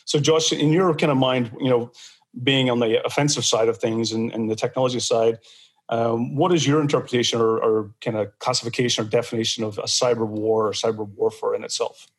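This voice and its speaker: A man's voice: medium pitch, fairly even intonation, brisk, slightly rough. Chatty male podcast host. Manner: rambling, many fillers and restarts. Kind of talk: casual podcast discussion.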